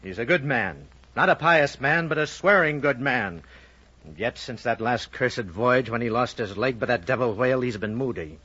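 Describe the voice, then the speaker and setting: An actor playing a gruff, blustering old whaling ship owner, speaking emphatic, archaic thee-and-thou English in a radio drama. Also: male voice, medium pitch, animated, moderate pace, pushed and bright. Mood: grave warning. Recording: muffled old radio recording.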